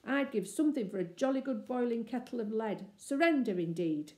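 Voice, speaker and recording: A woman voicing a bloodthirsty, brave young boy in a storybook, excited, boastful and commanding. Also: a little high, brisk, echoey room, voice pushed up, lightly acted. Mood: fierce, excited.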